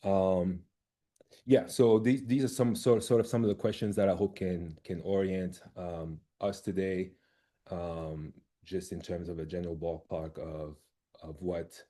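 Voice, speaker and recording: Deep, dark-toned man presenting on a video call. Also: little pitch movement. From Cameroon. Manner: halting, many fillers and restarts. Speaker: research lab director presenting on a call.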